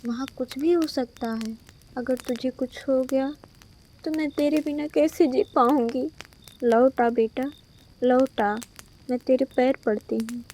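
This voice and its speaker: A woman's voice: high voice, steady tone, measured pace, mellow, slight background noise. A woman voicing a fretful village mother who implores her son, in a melodramatic cartoon style. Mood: anxious, imploring. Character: anxious, pleading village mother of grown son.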